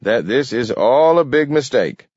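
A man's voice: medium pitch, animated, a little slow, a little mellow, breathy edge, no echo, highs cut off, voice pushed up. A man voicing a pompous, flustered city mayor from a storybook, blustering and sputtering. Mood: shaken.

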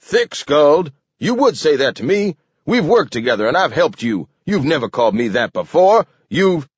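A man voicing a hot-tempered, blustering alderman in a story, sputtering and shouting. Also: a little high, big pitch swings, normal speed, slightly rough, no room sound, dull audio, pitched above the performer's own voice. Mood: outraged.

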